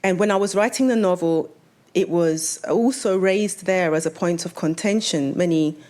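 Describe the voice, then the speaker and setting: Lower-voiced woman talking on a panel. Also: normal speed, darkish tone. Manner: conversational, puts questions to the audience. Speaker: novelist speaking as a panelist. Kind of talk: panel talk about her own novel.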